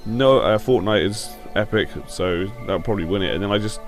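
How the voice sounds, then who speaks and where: Male gaming host, lowish voice, a bit croaky with a sore throat, informal and blunt, soft music behind. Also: brisk. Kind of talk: casual opinion commentary on video games.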